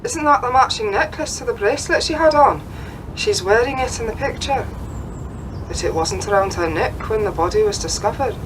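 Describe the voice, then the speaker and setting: A woman's voice: a little high, expressive, moderate pace, close to an everyday voice. A woman playing an observant, deferential junior police officer in a radio play, speaking respectfully and a little tentatively. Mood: respectful, alert. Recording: noisy background ambience.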